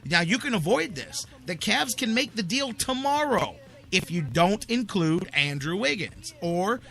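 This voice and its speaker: A male sports talk host with a bright, punchy voice pitched a little high. He talks in an emphatic, insistent way, driving opinions home by repeating them. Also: very animated, unhurried, creaky.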